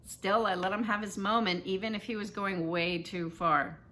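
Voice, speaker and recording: A woman reading a children's novel aloud as its author, in an animated, dramatic first-person storytelling style. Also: mid-range voice, moderate pace.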